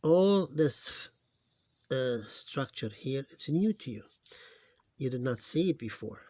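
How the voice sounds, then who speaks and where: A man's voice: medium pitch, lively intonation, a little mellow, no echo, clean studio sound. A man teaching a phonics lesson, patiently spelling out syllables and correcting himself, his voice tiring. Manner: patient, repeats sounds slowly, self-correcting. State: voice getting tired. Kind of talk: phonics lesson over on-screen writing.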